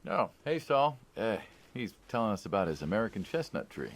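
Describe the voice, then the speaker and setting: A man playing a wry, needling mob hitman in a game, gravelly and probing, like an old friend asking questions. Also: on the low side, sing-song, fairly quick. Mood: puzzled, amused. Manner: wry, probing, drawling sarcasm. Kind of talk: naturalistic video game dialogue.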